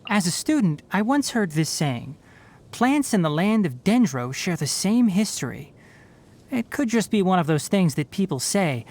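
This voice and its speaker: A man voicing a sensible, wry young animal-eared forest ranger scholar in a game, speaking in an articulate, measured way with dry sarcasm. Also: fairly high pitch. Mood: pensive. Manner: articulate, measured, dryly sardonic. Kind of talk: scripted game character voice lines.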